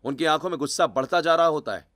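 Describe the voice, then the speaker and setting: A male storyteller with a fairly high-pitched voice. He delivers scripted, dramatic narration for a cartoon tale. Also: fast, fairly bright tone, slight background noise.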